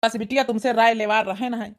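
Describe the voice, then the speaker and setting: A woman's voice: on the high side, fairly even intonation, fast talker, natural voice. A woman with an Awadhi accent voicing a trusting, affectionate middle-aged village father in a comic cartoon. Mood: fatherly. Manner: affectionate, earnest.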